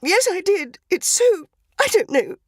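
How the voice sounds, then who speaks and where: Woman acting a shrill, indignant lady passenger. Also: big pitch swings, a little slow, no room sound, clean studio sound, full character voice. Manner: shrill, outraged, prim. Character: indignant, easily upset railway passenger lady. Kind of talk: dramatised story reading.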